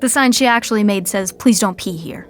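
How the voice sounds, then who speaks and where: A woman's voice: mid-range voice, fairly quick, noisy background, close to an everyday voice. A woman playing a gossipy narrator of a scripted sitcom podcast, telling it with wry, chatty asides. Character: wry, gossipy female storyteller commenting on characters.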